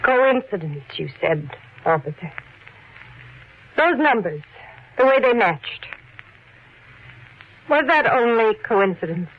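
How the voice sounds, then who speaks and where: A woman playing a protective, bitter wife with a deliberate, dramatic delivery in 1940s radio style. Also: fairly high pitch, very animated, heavily acted. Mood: bitter, grave. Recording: dull lo-fi 1940s radio recording.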